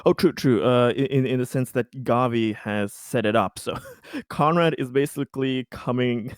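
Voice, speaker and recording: A man playing a tabletop roleplaying game, narrating his character off the cuff in a halting, stumbling way. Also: medium pitch, smooth, dry recording, studio quality.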